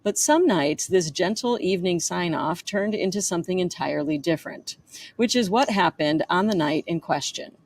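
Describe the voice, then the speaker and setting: A woman's voice: fairly low pitch, lively intonation, normal speed. A woman author reading aloud from her own memoir in a narrating style.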